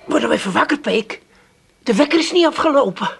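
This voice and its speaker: A woman's voice: sits high, animated, a little fast, gravelly, breathy edge, exaggerated voice. An actress playing a fretful, impatient working-class Amsterdam woman scolding her household in a comic radio play. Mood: impatient nagging. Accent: Amsterdam Dutch.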